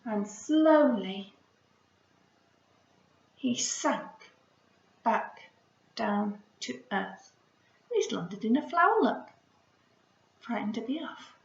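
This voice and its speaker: A woman primary school teacher talking to young children in an encouraging, expressive storytelling style. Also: fairly high pitch, extremely slow, creaky, long pauses. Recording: roomy, slightly dull home recording.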